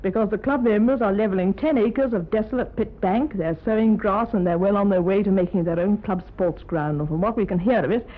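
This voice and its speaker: A woman's voice: medium pitch, a little fast, few pauses. A woman, talking emphatically and approvingly as a judge in a radio discussion. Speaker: competition judge. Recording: muffled, band-limited 1950s radio broadcast.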